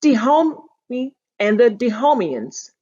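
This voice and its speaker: A woman's voice: on the high side, big pitch swings, takes it slowly, mellow, breathy edge, voice pushed up, exaggerated voice. A woman voicing a courtly older man who loves books in a genteel, savoring manner for a storybook, in a dull lo-fi recording. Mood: gently delighted. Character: courtly older man, gentle book lover. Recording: dull lo-fi sound.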